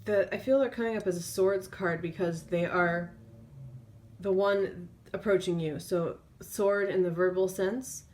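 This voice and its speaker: A woman tarot reader speaking off the cuff in an intuitive reading, feeling her way through phrases with drawn-out pauses. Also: lowish voice, fairly even intonation, a little mellow.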